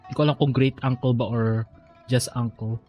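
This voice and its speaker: A man's voice: mid-range voice, flat delivery, fast, dark tone. A man with a Filipino accent giving casual gameplay commentary as a streamer, thinking aloud and switching languages, over game music. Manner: code-switching, musing aloud. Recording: faint game music behind the voice.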